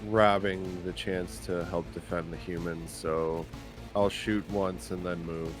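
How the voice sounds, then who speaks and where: A man's voice: sits low, steady tone, a little slow, music in the background. A man playing a tabletop roleplaying game, talking casually and in slangy, laid-back phrases as he decides what to do. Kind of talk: casual chat during play.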